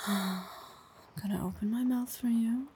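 A woman speaking in an intimate whispered audio roleplay, mostly soft whispers with low murmured hums, in a coaxing, praising tone. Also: steady tone, unhurried, a little hiss or hum.

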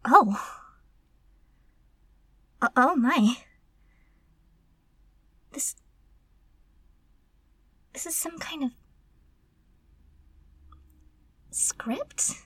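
A woman's voice: high-pitched, lively intonation, very slow, long pauses, bright, forceful tone, no room sound, exaggerated voice. A woman playing a timid, curious, easily flustered female servant in an intimate audio roleplay, murmuring breathily and stammering. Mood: shocked fascination.

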